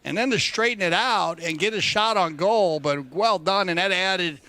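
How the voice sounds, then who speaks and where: A man's voice: high-pitched, moderate pace, bright, forceful tone, no echo. Chatty man calling a polo match. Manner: enthusiastic, chatty. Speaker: polo commentator. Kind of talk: live sports commentary.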